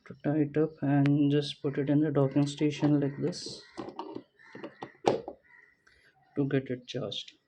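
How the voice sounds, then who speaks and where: A man casually talking through a product unboxing without a script, thinking aloud in a halting way as he goes. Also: a little high, monotone, noisy background. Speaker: gadget unboxing vlogger. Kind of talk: casual unscripted product walkthrough.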